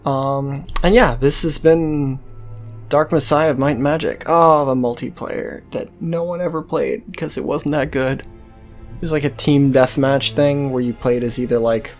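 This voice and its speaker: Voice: medium pitch, steady tone, measured pace, soft background music. A game series host giving casual, sweary let's-play commentary in a rambling, hesitant way.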